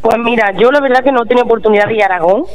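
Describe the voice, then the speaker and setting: A woman's voice: fairly high pitch, very fast. A woman, chatting in a familiar, casual way as a radio co-host. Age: 30s. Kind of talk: casual chat. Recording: dull radio sound, faint music.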